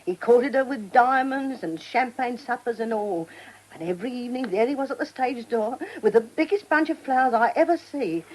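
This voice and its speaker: A garrulous old theatre dresser in a radio drama. Her working-class London voice is fairly high and lively in pitch, homely and devoted, full of nostalgia. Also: moderate pace, poor audio. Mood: chatty, nostalgic. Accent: working-class London English. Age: older.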